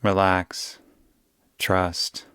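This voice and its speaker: A man guiding a yoga nidra meditation in a soothing, unhurried way, giving gentle instructions with long pauses. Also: low voice, completely flat delivery, clear, resonant tone, no room sound. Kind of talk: guided meditation.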